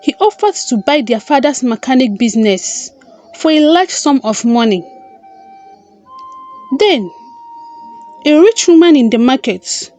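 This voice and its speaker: Woman, high pitch, slow pace, scripted folktale narration, deliberate phrasing, light background music. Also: big pitch swings, a little mellow. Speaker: storyteller, narrator.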